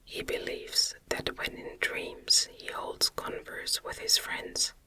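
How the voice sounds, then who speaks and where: A woman reading a gothic novel aloud as ASMR in a gentle, soothing, breathy whisper. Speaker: ASMR narrator reading an audiobook.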